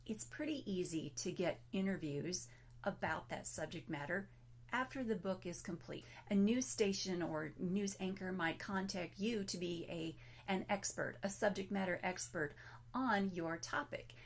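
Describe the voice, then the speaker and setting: A woman author giving a casual recorded presentation while losing her voice, talking in a chatty, self-deprecating way, some words coming out breathy and whispered. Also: lowish voice, a little slow.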